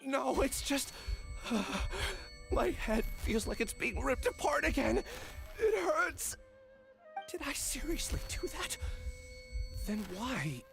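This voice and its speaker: A man's voice: very high voice, very animated, takes it slowly, vocal fry, heavily acted. An actor playing a troubled, apologetic young male student in a video game, hesitant and strained. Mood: pained. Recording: music under the dialogue.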